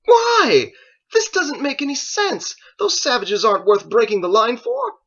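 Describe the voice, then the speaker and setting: A man's voice: extremely high pitch, huge pitch swings, measured pace, sharp tone, breathy edge, highs cut off, voice pushed up, full character voice. A man voicing an anxious, indignant male squire who protests and pleads with his master in an audiobook story. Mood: frantic, indignant. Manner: exclaiming, incredulous, protesting.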